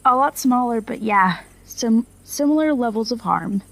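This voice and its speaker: A woman's voice: fairly high pitch, lively intonation, normal speed, gravelly, low-quality recording. A woman acting in an audio drama as a loyal informant to villains, earnest and a little hesitant, in a naturalistic style. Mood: reporting, unsure.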